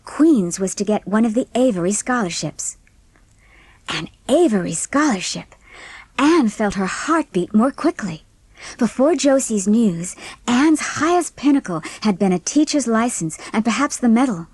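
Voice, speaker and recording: A woman's voice: a little high, animated, a little slow, darkish tone, breathy edge, no echo. Woman narrating a storybook, dry sound. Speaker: audiobook narrator.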